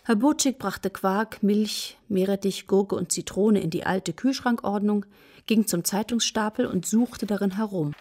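A woman's voice: mid-range voice, measured pace, a little mellow. A woman narrator reading scripted storytelling passages for a children's radio play.

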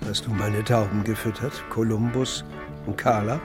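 A man playing a kindly older pigeon keeper in a children's radio play, gravelly and patient as he explains things. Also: on the low side, steady tone, normal speed, over music, natural voice. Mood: friendly, conspiratorial.

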